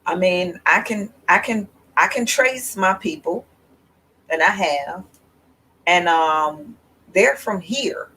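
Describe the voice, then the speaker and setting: A woman hosting a casual livestream, talking slowly and emphatically with restarts. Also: lowish voice, lively intonation, sharp tone, breathy edge, poor audio. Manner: slow, rambling and emphatic, with restarts and laughs. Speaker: host.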